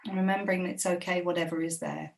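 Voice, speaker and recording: A woman guiding a body scan meditation, speaking slowly and gently with long, unhurried pauses. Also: lowish voice, fairly even intonation, vocal fry, far from the mic. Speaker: meditation guide.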